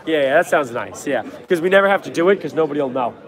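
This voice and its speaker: A young man hosting a casual livestream from a trade show floor, answering chat in a quick, offhand, joking way, his voice worn from a cold. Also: a little high, lively intonation. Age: young adult. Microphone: phone held at arm's length. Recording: noisy crowded convention hall. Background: crowd chatter.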